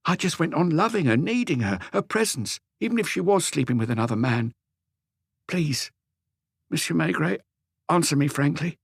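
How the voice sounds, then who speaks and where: A man voicing an anguished, lonely, meek husband in an audiobook, pleading and rambling haltingly through a confession. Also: fairly high pitch, animated, creaky, husky, no room sound, clean studio sound, voice pushed up, full character voice. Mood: wounded, hopeless. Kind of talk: audiobook character dialogue.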